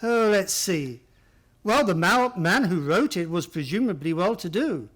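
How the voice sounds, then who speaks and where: Radio drama loyal gentleman sidekick: high male voice, very expressive, inquisitive, mildly hesitant. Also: measured pace, full character voice. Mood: puzzled, pondering. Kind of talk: radio play acting.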